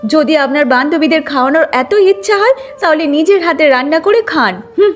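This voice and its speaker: A two-faced young wife in an animated fable, voiced by a woman, resentful and sharp-tongued about her chores. The voice sits high over faint music. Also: a little fast. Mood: defiant, irritated. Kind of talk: cartoon moral tale acting.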